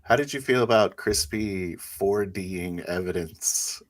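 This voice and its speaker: A man's voice: deep voice, takes it slowly, dry recording. A man bantering casually and teasingly as a player after a roleplaying game, a little distant from the microphone. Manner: wry, teasing.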